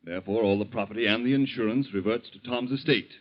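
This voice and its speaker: A man plays a measured lawyer in a vintage radio drama. The voice is low, formal and explanatory. The old recording sounds dull. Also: natural voice. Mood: matter-of-fact. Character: measured, matter-of-fact male attorney delivering bad news. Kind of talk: 1940s radio drama acting.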